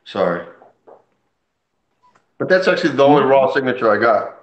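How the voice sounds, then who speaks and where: A man's voice: medium pitch, big pitch swings, normal speed, slight background noise. A man from Puerto Rico, Spanish his first language, casually chatting as host about comics with enthusiastic, rambling stories. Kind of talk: casual chat. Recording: dull roomy sound.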